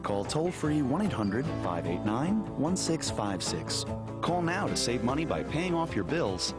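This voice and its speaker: A man's voice: medium pitch, big pitch swings, a little slow. A man reading a scripted advertising voice-over as a commercial announcer. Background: loud guitar music.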